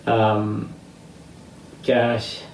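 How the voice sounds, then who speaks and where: An elderly American man tells personal stories at a slow, halting pace, pausing to find his words. He is picked up from a distance in an echoey room, with faint noise behind him. His delivery is reflective. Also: on the low side, monotone, creaky. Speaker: interviewee sharing life stories. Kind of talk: reminiscing personal storytelling.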